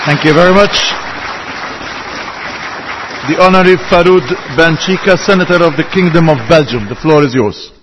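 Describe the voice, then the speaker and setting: A man moderating a conference, briskly giving formal introductions of speakers. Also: a little high, a bit bright, some chatter behind, slight background noise, rough recording.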